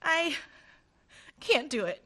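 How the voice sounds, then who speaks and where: A woman's voice: high-pitched, very animated, slow pace, sharp tone, heavily acted. A woman acting a willful, defiant young woman in love in a radio play. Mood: sorrowful, firm.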